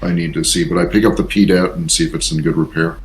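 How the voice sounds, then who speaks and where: A man's voice: sits low, steady tone, fast talker, a little hiss or hum. A man playing a tabletop role-playing game, talking casually as he states actions and checks rules.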